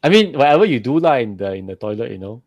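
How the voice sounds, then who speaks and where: Jokey, animated podcast co-host man. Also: medium pitch, fast talker, dry recording, dull audio. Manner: animated, repeats words, jokey. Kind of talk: casual chat.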